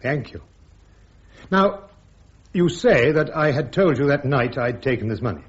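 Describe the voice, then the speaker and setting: Male, actor as courteous accused major, medium pitch with lively swings, husky, restrained manner, dull radio recording. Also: measured pace, close to an everyday voice. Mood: polite, controlled. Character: polite, restrained army major, accused, defending himself. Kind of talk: radio drama acting.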